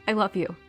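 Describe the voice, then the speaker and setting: A woman playing a sincere, vulnerable young girlfriend in an audio drama. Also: fairly bright tone. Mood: vulnerable confession. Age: young adult.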